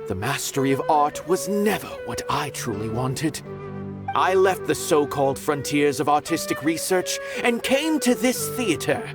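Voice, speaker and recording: A worldly, refined art connoisseur in a video game. He speaks in a measured, lofty manner with a lively, expressive pitch line. Game music plays clearly behind him. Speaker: former stage performer turned art critic.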